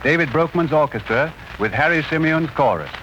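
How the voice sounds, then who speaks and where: A man's voice: a little high, expressive, fairly quick, a bit bright, highs cut off. A man announcing an upcoming programme in the formal promotional style of 1930s radio. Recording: old radio recording with noise.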